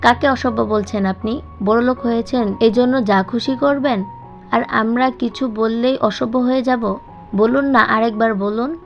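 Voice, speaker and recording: A woman voicing a hot-tempered, sharp-tongued young woman in an audio story, scolding and firing off questions. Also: on the high side, a little slow, dull audio, soft background music. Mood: furious, defiant.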